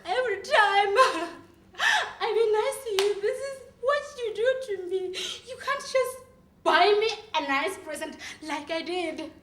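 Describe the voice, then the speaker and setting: A woman's voice: high-pitched, expressive, a bit bright. A woman playing a volatile, heartbroken young woman in a melodramatic acting monologue. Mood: furious, tearful. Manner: melodramatic, tearful outbursts and drawn-out pleading. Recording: echoey room.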